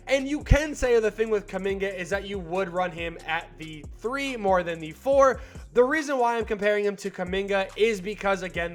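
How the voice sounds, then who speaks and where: High-voiced hyped young gamer guy. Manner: hyped, slangy and rambling, with filler words. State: still a little stuffed up from a cold. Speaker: gaming YouTuber. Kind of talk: casual gameplay commentary.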